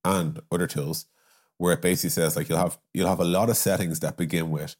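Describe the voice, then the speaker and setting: A man's voice: deep voice, fairly even intonation, quick pace, darkish tone, breathy edge. A man explaining his views informally in a casual business discussion, with frequent asides and examples. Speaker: podcast guest, software company strategist.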